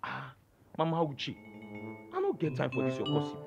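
A man with a Nigerian accent playing a blustering, incredulous compound landlord in a comedy film, exclamatory and drawn-out. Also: on the high side, huge pitch swings, vocal fry, loud music behind the voice, heavily acted. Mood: dismissive disbelief.

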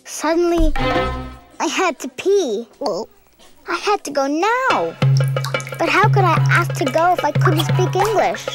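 A woman voicing an earnest, anxious seven-year-old immigrant girl, narrating her own story in an animated short with childlike, measured candour. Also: very high voice, loud music behind the voice, heavily acted. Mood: anxious, urgent. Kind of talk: scripted first-person narration for animated short.

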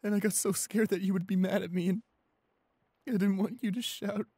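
A man playing a shy, anxious, apologetic young boyfriend in an audio roleplay, halting and repeating himself in a breathy, husky voice. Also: high-pitched, fairly even intonation, darkish tone, no room sound. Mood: tearful relief. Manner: halting, stammering, repeating pleas. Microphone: close studio microphone, dry.

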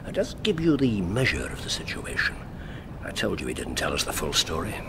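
An actor playing a shrewd, sardonic English detective in a radio drama, with precise, theatrical phrasing. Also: male voice, huge pitch swings, brisk, full character voice. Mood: assured. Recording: clear low rumbling background noise.

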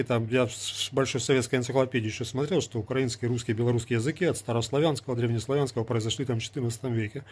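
Husky-voiced man ranting to camera. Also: mid-range voice, a little mellow. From Ukraine. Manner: argumentative, sarcastic, lecturing. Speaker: vlogger. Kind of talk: casual talk to camera. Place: small indoor room with gear. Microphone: earbud mic, phone at arm's length.

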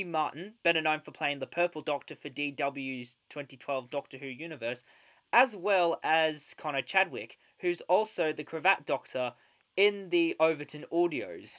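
Young male podcaster with lively intonation. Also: on the high side. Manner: enthusiastic. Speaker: podcast host. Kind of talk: solo fan podcast monologue.

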